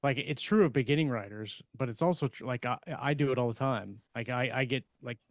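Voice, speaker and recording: A man in a casual podcast discussion, thinking aloud in a halting, rambling way with frequent restarts. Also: mid-range voice, dry recording. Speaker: podcast host and author.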